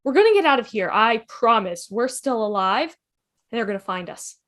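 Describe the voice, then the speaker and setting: A woman playing a quick-thinking, reassuring time-travelling adventurer in a fan table read of a TV drama. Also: a little high, expressive, fairly bright tone. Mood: apologetic, reassuring. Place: online video call.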